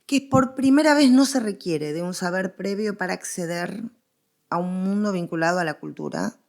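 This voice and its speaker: A woman with an Argentine accent talks through history as an interviewed expert. Her voice sits a little low and carries a clear creak. She explains with animation, circling back on phrases to stress them. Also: normal speed, a little mellow. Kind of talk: conversational scholarly interview.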